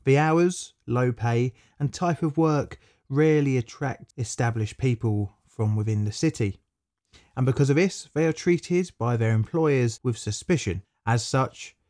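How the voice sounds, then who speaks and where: A man reading a scripted history documentary as a voice-over, in a measured, matter-of-fact storytelling manner that stresses key words. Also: mid-range voice, a little mellow. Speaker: documentary narrator.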